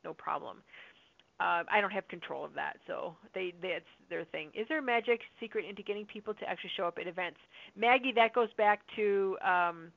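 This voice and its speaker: Hoarse woman on a tinny phone line. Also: medium pitch, brisk, dry recording. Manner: chatty, upbeat, many fillers and asides. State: slightly hoarse from long talking. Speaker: host answering listener questions on a call. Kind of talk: casual question-and-answer call. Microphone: phone or conference line.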